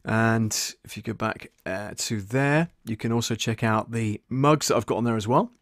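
A man's voice: fairly low pitch, normal speed, no room sound. Man, chatty, close dry sound. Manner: informal, chatty. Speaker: audio producer and live-stream host. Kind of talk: casual tutorial chat to viewers. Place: small soundproofed home studio in a converted shed. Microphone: desk condenser microphone, close.

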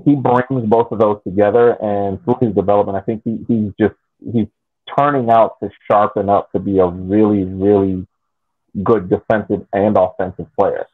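Thin, phone-like and dry audio carries a man's lowish voice. He gives casual sports analysis on a call, in measured, halting phrases. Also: steady tone, slightly rough, no room sound. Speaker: sports podcast panelist. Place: indoor room with wooden headboard. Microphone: video call.

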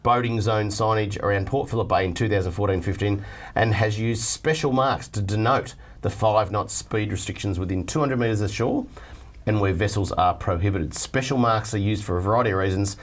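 A man's voice: fairly low pitch, brisk. A middle-aged Australian man hosting a studio chat show, reading viewer letters aloud in a chatty, joking way. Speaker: host reading viewer mail. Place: TV studio set at a desk.

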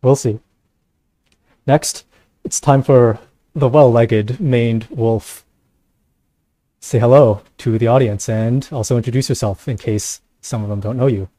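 A man's voice: mid-range voice, fairly even intonation, measured pace, long pauses, warm tone, dry recording. A man hosting a casual live panel show, sounding somewhat tired. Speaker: host.

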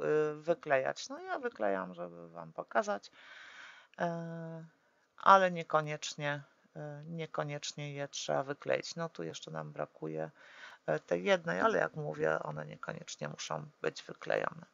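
A woman calmly walking through a papercraft tutorial step by step, often pausing with drawn-out fillers as she works. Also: low-pitched, gravelly, no room sound, dull audio. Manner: hesitant, full of drawn-out "e" fillers while working; calm, practical instructing.

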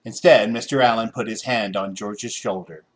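A man's voice: fairly low pitch, fairly even intonation, normal speed. A middle-aged American man narrating a children's story expressively. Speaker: storybook reader.

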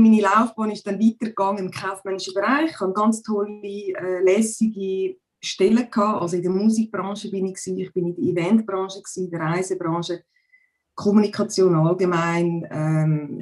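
A woman's voice: medium pitch, steady tone, moderate pace. A woman in her forties with a Zurich Swiss German accent, a guest chatting casually on a video call with lots of hesitant fillers. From Zurich, Switzerland. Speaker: podcast guest. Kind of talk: casual conversation. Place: home room.

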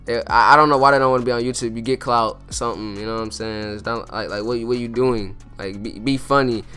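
A man's voice: medium pitch, fairly even intonation, quick pace, clear, resonant tone, faint music behind. A teenage boy hosting a casual interview vlog in a school cafeteria, talking loosely with lots of fillers and slang. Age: teens. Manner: laid-back slangy chatter with lots of fillers. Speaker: vlogger interviewing classmates. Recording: noisy crowded room on a phone. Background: loud crowd chatter.

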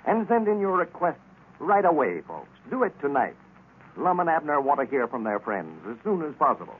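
A man with an American accent reading a scripted 1930s-style radio announcement and sales pitch. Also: big pitch swings, normal speed. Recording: tinny, noisy old radio recording.

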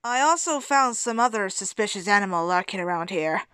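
A woman's voice: medium pitch, expressive, a little fast, fairly bright tone, smooth, dry recording, close to an everyday voice. A woman voicing a blunt, nonchalant male animal handyman in an amateur cartoon comic dub, dry and offhand.

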